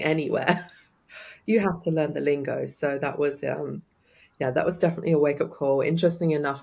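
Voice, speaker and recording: English woman, thirties, low voice, gravelly, chatty storyteller, podcast guest. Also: a little mellow. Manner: chatty and upbeat, laughs often, storytelling. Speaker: guest, life coach. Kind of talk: casual interview chat.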